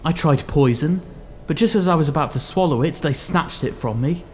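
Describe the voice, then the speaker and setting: Brief: despairing young male poet, acted by a man, audio drama, higher register, melodramatic, proud, faint background noise. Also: moderate pace, darkish tone, lightly acted. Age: young adult. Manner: earnest, declamatory, melodramatic. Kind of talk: theatrical audio drama acting.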